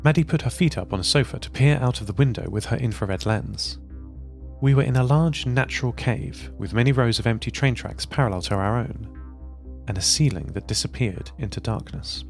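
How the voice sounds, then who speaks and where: A man narrating an audio drama in a calm, measured way. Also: medium pitch, creaky, faint music behind.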